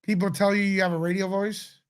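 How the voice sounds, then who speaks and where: A man's voice: high voice, level tone, fairly quick, clean tone. A man with a nasal voice hosting a casual livestream, answering viewer questions in a laid-back way. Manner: laid-back, laughs often, mock radio-announcer bits. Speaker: show host.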